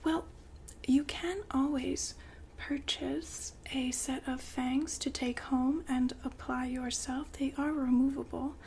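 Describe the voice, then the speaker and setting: A woman performs an ASMR roleplay as a polite saleswoman in a breathy whisper. Her delivery is unhurried and very even, with gentle patter. A faint ambient hiss sits behind the voice. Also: sits high.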